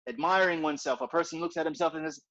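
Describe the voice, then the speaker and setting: A man's voice: on the high side, rapid-fire, fairly bright tone. A man teaching an informal religious class in a conversational way, repeating his points and often asking "right?". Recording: dull lo-fi recording.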